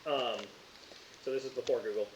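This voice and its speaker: A man's voice: mid-range voice, fairly even intonation. Echoey, casual male game master. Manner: halting, informal, frequent "like". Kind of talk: casual tabletop game narration. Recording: echoey room, muffled, faint hiss.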